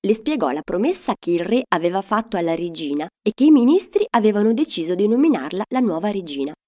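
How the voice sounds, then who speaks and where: A woman's voice: medium pitch, sing-song, fast, darkish tone. A woman storyteller narrating a children's fairy tale expressively.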